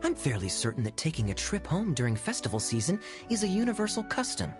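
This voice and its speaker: Game cavalry captain: suave, teasing, theatrically sly, highly varied melody. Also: male voice, huge pitch swings, fast talker, over music. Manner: smooth, playful, theatrically sly. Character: suave, teasing, silver-tongued young cavalry captain, male. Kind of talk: video game character dialogue.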